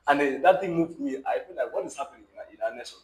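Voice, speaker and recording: A man's voice: medium pitch, lively intonation, fast. A young man from South Sudan with a South Sudanese accent gives his opinion conversationally, emphatically checking that the listener follows. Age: young adult. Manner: emphatic, repeatedly checks listener understands with questions. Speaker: podcast guest. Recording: roomy echo with faint background noise.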